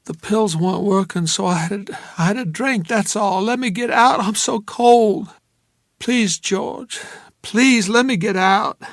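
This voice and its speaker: A man's voice: extremely high pitch, very slow, vocal fry, dry recording, studio quality, pitched above the performer's own voice, full character voice. A man voicing a drugged, half-conscious young woman who slurs, protests and pleads while shivering. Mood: pleading. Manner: slurred, tearful, shivering.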